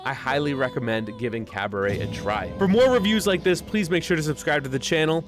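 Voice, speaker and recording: A man's voice: on the high side, animated, fairly quick. A man reviewing a video game in a semi-scripted voice-over, speaking in a casual, conversational and enthusiastic way. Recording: music under the voice.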